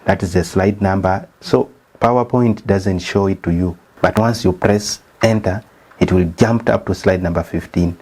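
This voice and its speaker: A man's voice: low-pitched, moderate pace, a little mellow. A man from Uganda with a Ugandan English accent, a statistician hosting his channel and walking viewers through a slideshow lesson step by step. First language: not English. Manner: methodical, explains step by step.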